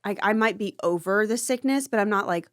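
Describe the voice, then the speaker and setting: A woman in her thirties chats loosely as a co-host, rambling with plenty of fillers and quick laughs. Her pitch sits in the middle, and the recording is dry and close. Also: dry recording. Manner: chatty, laughs easily, rambling with many "like"s. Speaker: podcast co-host, mother. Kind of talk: casual chat.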